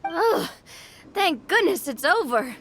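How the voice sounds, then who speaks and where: A high-pitched young adventurer girl in a video game. She speaks earnestly and formally, with composure and care in her delivery. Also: big pitch swings, slow, fairly bright tone, slight background noise, heavily acted. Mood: relieved.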